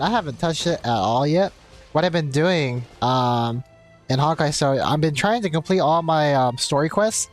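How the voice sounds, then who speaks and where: A young man with a medium-pitched voice hosts a game livestream. He rambles conversationally and casually, with game music playing behind him. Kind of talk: casual chat while gaming.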